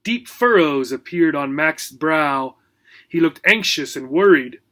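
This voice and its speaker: A man's voice: fairly high pitch, animated, a little slow, a bit bright. A man narrating a children's book as a teacher, expressively and with theatrical emphasis.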